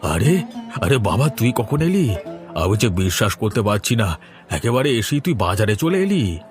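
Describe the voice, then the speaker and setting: A man's voice: on the low side, very animated, moderate pace, music in the background. A man voicing a hearty, food-loving elderly village father in a Bengali cartoon story, with a Bengali accent. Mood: pleased. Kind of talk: Bengali animated story voice acting.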